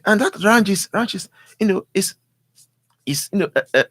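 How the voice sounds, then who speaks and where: A man with a Nigerian accent giving unscripted opinion as a news analyst, halting and repeating his words. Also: high voice, animated, fairly bright tone, no room sound. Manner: halting, repeats words, often says "you know".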